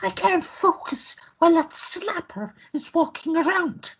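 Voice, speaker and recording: A woman playing a jealous, sharp-tongued lover in a radio play, indignant and melodramatic. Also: extremely high pitch, unhurried, vocal fry, exaggerated voice.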